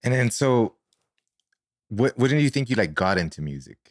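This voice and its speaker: A man's voice: mid-range voice, big pitch swings, normal speed, no echo, clean studio sound. A man hosting a podcast interview, chatting casually in an informal, slangy, joking way. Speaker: podcast host.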